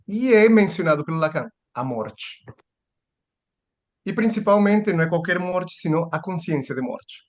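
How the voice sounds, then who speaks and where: Man lecturing. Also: fairly high pitch. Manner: thinking aloud, frequent restarts and rhetorical questions. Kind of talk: seminar lecture.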